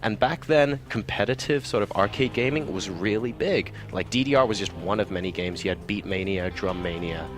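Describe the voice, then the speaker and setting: A man from Hong Kong, an esports caster, talking quickly and enthusiastically in an interview at a busy event. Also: fairly low pitch, over music. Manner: quick, chatty and enthusiastic, with frequent fillers. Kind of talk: casual interview answers.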